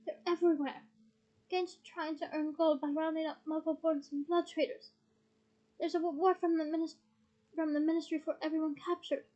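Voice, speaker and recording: A woman's voice: sits high, flat delivery, normal speed, mellow, lo-fi sound, lightly acted. A woman voicing an agitated, defensive teenage boy wizard who protests and explains himself in a story reading. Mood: explaining.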